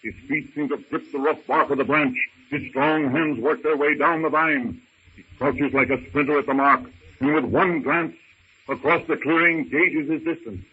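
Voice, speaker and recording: A man's voice: a little high, moderate pace, slightly rough. A man narrating in a dramatic 1930s radio style, with intense, suspenseful, clipped phrasing. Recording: tinny, hissy old radio recording.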